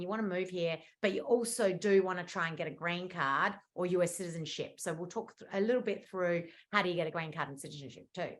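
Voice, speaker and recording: A woman's voice: mid-range voice, a bit bright. Chatty Australian woman on a video call. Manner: chatty, fast, lots of fillers and restarts. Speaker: immigration lawyer presenting at a webinar. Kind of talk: webinar presentation. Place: indoor room at home or office.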